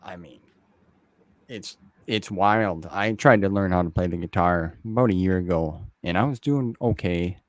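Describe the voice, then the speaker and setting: Male host, late 30s. Lowish pitch, dry close sound, informal and chummy, loose rambling chat. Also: normal speed, no room sound. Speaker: interviewer and show host. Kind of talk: casual interview chat.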